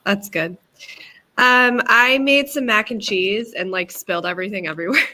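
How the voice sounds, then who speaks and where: Bright chatty young woman. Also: medium pitch, moderate pace. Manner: chatty, rambling with many "like"s and laughs. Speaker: book club co-host. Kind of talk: casual group chat on a video call.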